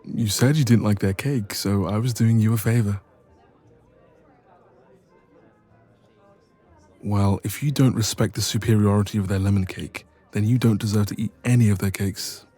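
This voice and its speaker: Playful young male best friend, played by a man, romantic audio roleplay: mellow, slightly deep, breathy, intimate and chatty, faint music underneath. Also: fairly quick, hardly any pauses, natural voice. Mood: mock indignant. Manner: playful, teasing, expressive, soft laughs.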